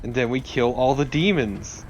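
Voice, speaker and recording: A man's voice: medium pitch, normal speed, a little mellow, lo-fi sound, slight background noise. A man co-commentating on a video game with casual, dry, joking banter.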